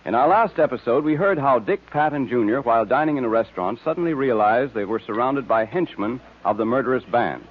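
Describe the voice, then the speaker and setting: A man's voice: medium pitch, expressive, brisk, few pauses, slight background noise. A man announcing in scripted 1940s radio style, with a polished sales and narration delivery. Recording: tinny, narrow-band old radio recording.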